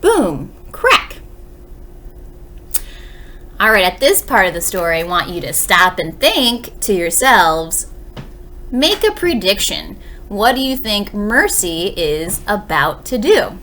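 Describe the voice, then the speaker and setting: A woman teacher reads a storybook aloud for young children. Her mid-pitched voice moves up and down in a very lively way. She uses dramatic pauses and talks straight to her young listeners. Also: slight background noise. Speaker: second-grade teacher reading aloud.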